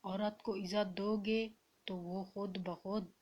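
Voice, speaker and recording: A woman reading spiritual quotes as scripted narration, in a measured and deliberate manner. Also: mid-range voice, little pitch movement, a little mellow, lo-fi sound.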